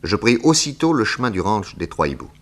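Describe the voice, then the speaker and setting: An actor playing a bold, self-assured detective hero who narrates and acts in a 1960s French radio drama. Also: male voice, fairly low pitch, sing-song, brisk. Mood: composed storytelling.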